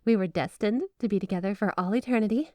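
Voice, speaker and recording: A woman's voice: medium pitch, fast talker, mellow, no echo, close to an everyday voice. A woman playing a flirty, obsessive yandere wife in an ASMR roleplay, gushing and coy with a possessive edge under the sweetness. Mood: possessive, intense. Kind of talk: ASMR roleplay, addressing the listener as spouse. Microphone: close, dry microphone.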